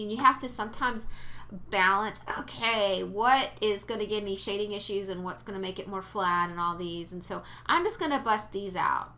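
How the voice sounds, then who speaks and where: Chatty, bright-voiced woman tutor. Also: medium pitch. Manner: chatty, rambling, with fillers and cute exclamations. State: sniffly from allergies. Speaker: 3D modeling tutor. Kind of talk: casual screen-recorded tutorial.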